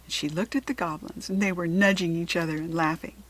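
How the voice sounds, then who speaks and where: A woman with an American accent giving an expressive, deliberate narration of a children's story. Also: fairly low pitch, a little hiss or hum. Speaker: storybook reader.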